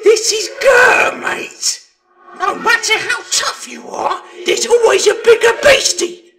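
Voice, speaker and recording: A shrill little goblin from a fantasy audiobook. The pitch is extremely high, with a piercing edge and a croak. The delivery is slow, nagging and scolding. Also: male voice, exaggerated voice. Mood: warning. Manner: nagging, shouted slangy speech. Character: small squeaky goblin possessed by a scheming, scolding spirit.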